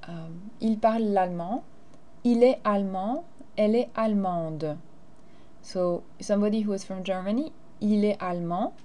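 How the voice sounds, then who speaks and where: A woman's voice: medium pitch, warm tone. A teacher, a woman, explaining grammar and carefully modeling phrases in a recorded lesson. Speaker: French teacher.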